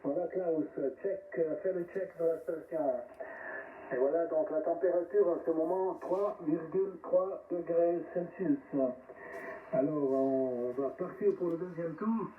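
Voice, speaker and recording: A man hosting an amateur radio net as controller, speaking haltingly over a radio transmission. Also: a little high. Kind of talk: ham radio net roll call. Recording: narrowband shortwave radio, distant, echoey, hissy.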